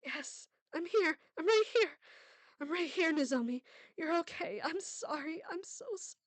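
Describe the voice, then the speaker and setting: Woman reader as a young mother in anguish, high and weeping, close dry sound. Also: slow pace, no room sound. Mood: soothing. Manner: soothing, then breaking into sobbing pleas. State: crying. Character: frantic young mother pleading for her daughter. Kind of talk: dramatized story reading.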